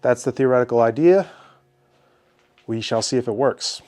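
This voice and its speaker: A man's voice: medium pitch, normal speed, mellow. A congested man with a head cold narrating his car build in a cluttered garage, laid-back and thinking aloud with restarts. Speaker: car builder filming his shop project. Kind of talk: casual how-to narration. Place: cluttered home garage shop.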